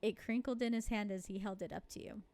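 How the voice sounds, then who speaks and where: A woman streams a story game in a very dry, close recording. She reads narration at a middling pitch and slips into informal, giggly asides in her own voice. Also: steady tone, fast talker, a little mellow, no room sound. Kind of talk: let's-play, reading visual novel narration aloud with casual asides.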